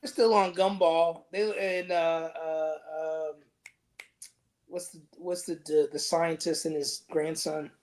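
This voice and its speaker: A man on a podcast panel, chatting casually in a halting, hesitant way. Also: on the high side, little pitch movement, a little mellow. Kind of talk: casual chat.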